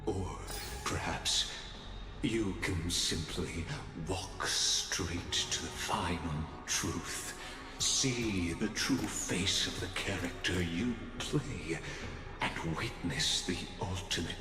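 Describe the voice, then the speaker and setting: An actor playing an enigmatic, courtly male guide who speaks in grandiloquent, deliberate, theatrical phrases. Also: low voice. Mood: suave, enigmatic. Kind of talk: theatrical scripted game dialogue, reciting verse. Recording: echoey long reverb over music.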